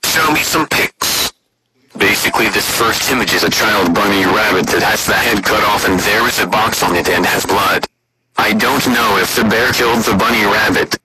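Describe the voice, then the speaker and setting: Man playing a low-voiced concerned official, noisy playback. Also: natural voice. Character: concerned male official discussing disturbing evidence images.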